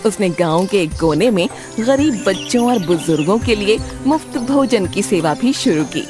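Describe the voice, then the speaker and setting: A woman's voice: mid-range voice, animated, moderate pace, over music. A woman narrating a scripted cartoon story.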